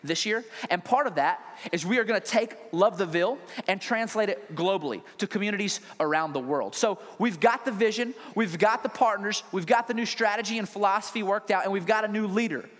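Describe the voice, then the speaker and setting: An energetic, joking man, a pastor, interviewing a colleague on stage with loud emphatic bursts. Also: high voice, a little fast, hardly any pauses. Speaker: lead pastor. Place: large church hall. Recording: reverberant hall, faint noise.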